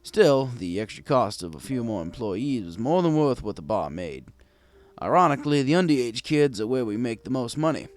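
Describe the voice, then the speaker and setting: A man's voice: medium pitch, very animated, moderate pace. A man reading a scripted first-person story as a wry, conversational bartender pony. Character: wry, gruff bartender pony telling his tale. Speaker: story narrator.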